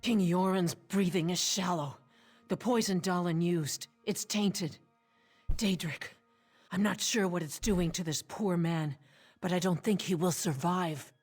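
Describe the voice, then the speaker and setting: An actress playing a solemn ally in a fantasy game, husky and measured, reporting grim news. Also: female voice, on the low side, darkish tone, soft background music. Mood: grave, troubled.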